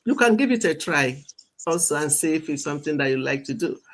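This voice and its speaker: A woman's voice: deep voice, expressive, fairly quick. A woman hosting a live stream, handing over to each guest and repeating her thanks. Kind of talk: moderating introductions.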